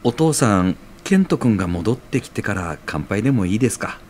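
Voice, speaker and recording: Man playing an easygoing, expressive male friend. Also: on the low side, a little fast, a little hiss or hum. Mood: reassuring. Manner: gentle, reassuring. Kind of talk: audio drama acting.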